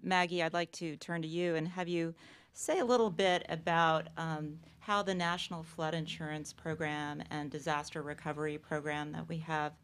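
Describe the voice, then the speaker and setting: A woman moderating a panel at a press event. Her very low voice is smooth and resonant. She speaks briskly and directly as she hands questions to the panelists. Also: a bit bright. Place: conference room at a press event.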